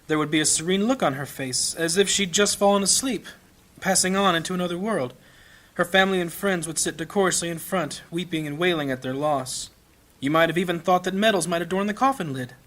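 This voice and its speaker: A man's voice: high voice, brisk, fairly bright tone. A man playing a grave, blunt fantasy priest who preaches in an oratorical, questioning way in an audio drama. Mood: grave, bitter. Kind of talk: dramatic funeral sermon.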